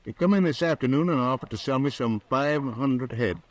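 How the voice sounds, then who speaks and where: Male actor as frontier cattle buyer, medium pitch, folksy Western accent, hesitant, deferential, vintage radio drama. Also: fairly quick, close to an everyday voice. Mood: earnest, confiding. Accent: American Western. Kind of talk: old-time Western radio drama acting. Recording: vintage radio broadcast recording.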